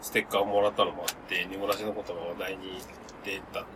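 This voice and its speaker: A man in his thirties chatting casually as a podcast co-host, excitable and gushing, often trailing into half-finished sentences. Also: low-pitched, fast talker, background noise. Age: 30s. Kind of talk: casual chat among friends.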